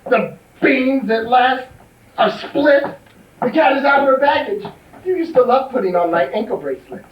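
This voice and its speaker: A man's voice: very high-pitched, lively intonation, normal speed. A man playing a middle-aged drag diva, wounded and grandiose, declaiming theatrically in stage musical comedy. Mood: wounded, melodramatic. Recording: distant echoey dull stage recording, noisy.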